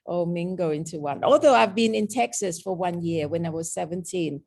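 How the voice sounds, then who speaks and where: A woman's voice: fairly low pitch, normal speed, gravelly, a little mellow. A woman with a mixed Thai and British accent, teaching a lecture on meditation and often checking in with "okay?". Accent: Thai and British mix. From Thailand. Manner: explaining with frequent "okay?" checks.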